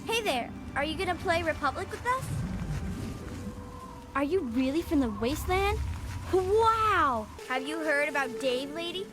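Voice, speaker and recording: Casting: eager, curious little game child, very high pitch, lively swings. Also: female voice, unhurried. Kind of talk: video game character acting.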